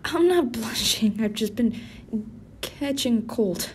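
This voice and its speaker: A man acts out a male tsundere office worker in a roleplay audio, prickly and easily flustered. He draws his words out slowly, grumbling and stammering defensively to hide a crush. A faint rumble of office and city noise sits behind him. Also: lively intonation, heavily acted. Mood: flustered, denying.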